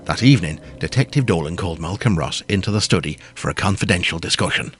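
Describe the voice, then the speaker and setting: A man's voice: sits low, animated, quick pace, soft background music. A man reading scripted narration for a gothic audio drama.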